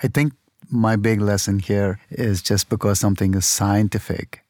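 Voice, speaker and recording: Friendly male interviewer, low voice, dry recording. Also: fairly even intonation, normal speed, darkish tone, no room sound. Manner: friendly, appreciative. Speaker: interviewer, host. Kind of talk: interview questions.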